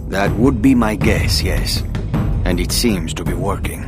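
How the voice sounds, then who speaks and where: An actor playing a solemn, diplomatic peacekeeping commander in a video game, speaking formally and gravely. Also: male voice, low-pitched, measured pace, over music. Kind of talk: video game cutscene acting.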